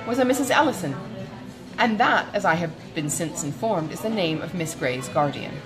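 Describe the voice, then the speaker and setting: A woman's voice: on the low side, expressive, natural voice, low-quality recording. Audiobook part: woman playing honourable, grave colonel, hesitant and formal delivery, varied intonation, music underneath. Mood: grave, earnest. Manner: halting, earnest, formal. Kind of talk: audiobook character dialogue.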